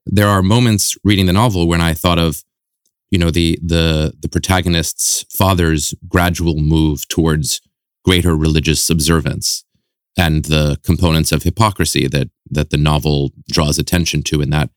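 A man's voice: rumbling low, fairly even intonation, normal speed, no echo, clean studio sound. A man interviewing a novelist, asking long, thoughtful questions with restarts.

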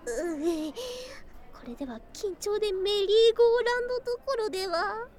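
A woman voicing a doting, excitable young lamia bride in an ASMR voice drama, speaking in archaic, old-fashioned phrases with girlish excitement. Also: very high voice, moderate pace, heavy background noise. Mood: flustered, shy. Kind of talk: ASMR situation voice drama, addressed to the listener.

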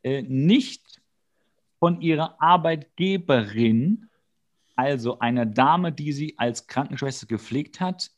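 A man co-hosting a podcast, casually and comically retelling an episode in an animated way with exasperated, slangy outbursts. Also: medium pitch, slow. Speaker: podcast co-host. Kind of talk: casual comedic episode recap.

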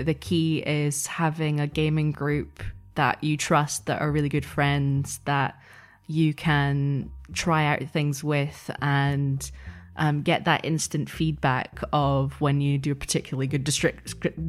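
Woman, deep-pitched, steady unvaried intonation, conversational interview guest, explanatory, thoughtful. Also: takes it slowly, hardly any pauses. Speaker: podcast guest, game master.

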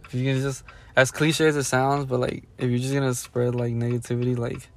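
A man's voice: medium pitch, little pitch movement, a little fast. A young man who spoke Spanish first, a creator guest talking in a laid-back, blunt, slangy way. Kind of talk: casual podcast chat.

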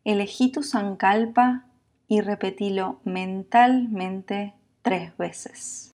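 A woman with a Latin American Spanish accent guiding a sleep meditation in a calm, soothing, unhurried manner, leaving long pauses. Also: medium pitch. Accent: Latin American Spanish with voseo. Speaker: yoga nidra meditation guide.

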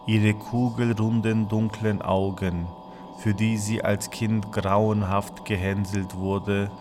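A man giving a measured, declamatory performed reading of literary texts, in a spoken-word style. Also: lowish voice, little pitch movement. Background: music.

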